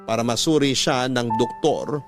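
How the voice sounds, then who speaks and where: A man's voice: mid-range voice, moderate pace, loud music behind the voice, lightly acted. An actor with a Filipino accent narrating a radio drama as an earnest young new father telling his life story. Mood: reflective. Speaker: narrator of a radio-style story, speaking as the protagonist.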